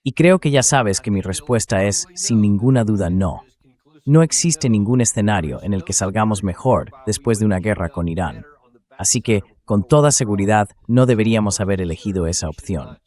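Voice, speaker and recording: A male host with a fairly low voice delivers political commentary. He speaks in a measured, explanatory way and puts firm emphasis on his key points. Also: mellow. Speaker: show host. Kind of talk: political commentary to camera, translated read-aloud.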